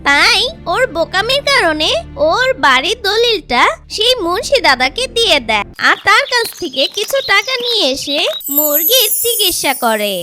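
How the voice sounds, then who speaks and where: Very high-pitched, animated children's story narrator. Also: moderate pace, faint music behind.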